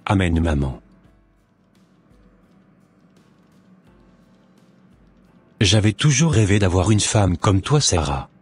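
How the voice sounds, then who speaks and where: A man voicing a deep-voiced pious young man. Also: sing-song, breathy edge, exaggerated voice. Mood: devoted. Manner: earnest, measured, pious.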